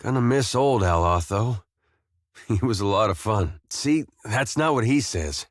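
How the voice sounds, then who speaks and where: An actor playing an easygoing, wry, war-weary farmer turned adventurer in a video game, talking in a folksy, understated way with short, plain phrases. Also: male voice, on the low side, expressive, unhurried, no room sound, clean studio sound. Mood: teasing, amused. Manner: folksy, laid-back, understated, drawling short clipped phrases. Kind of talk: video game companion dialogue.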